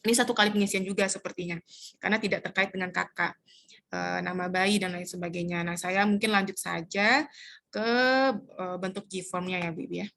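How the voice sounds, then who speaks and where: A woman explaining step by step how to fill in a community household form, pausing often to search for words. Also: lowish voice, steady tone, gravelly, fairly bright tone. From Indonesia. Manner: hesitant, searches for words with many fillers. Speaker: community trainer.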